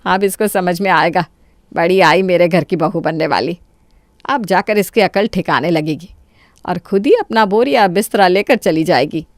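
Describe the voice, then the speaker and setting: A woman voicing a spiteful, scheming middle-aged mother-in-law in a cartoon story, with a sharp, taunting, bossy delivery. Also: on the low side, moderate pace, no room sound, studio quality, natural voice. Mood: gloating. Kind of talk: Hindi cartoon story dialogue.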